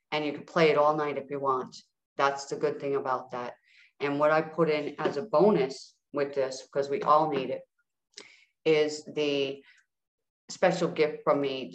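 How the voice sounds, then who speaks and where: A woman's voice: low voice, reverberant, low-quality recording. A woman guest coaching listeners in a soothing way, often checking in with "all right?". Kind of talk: guided healing talk.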